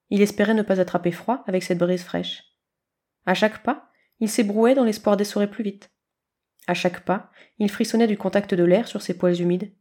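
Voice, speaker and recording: A woman reading a scripted story aloud as an expressive narrator. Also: medium pitch. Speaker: podfic reader.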